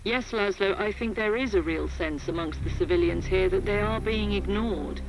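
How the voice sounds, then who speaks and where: An actress playing a composed, serious war correspondent, giving a measured and articulate scripted report over a radio link. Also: female voice, mid-range voice, vocal fry, music in the background. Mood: grave, measured. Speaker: field reporter. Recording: noisy radio field report.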